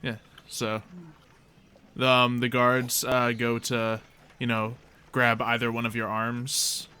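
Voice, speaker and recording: A man narrating a tabletop game as game master in a casual, halting, conversational way. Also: mid-range voice, completely flat delivery, bright, forceful tone, clean tone.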